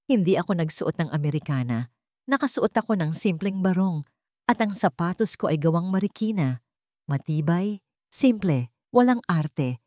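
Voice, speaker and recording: Low-pitched woman narrating a dramatic story. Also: animated, normal speed, a little mellow, dry recording, studio quality.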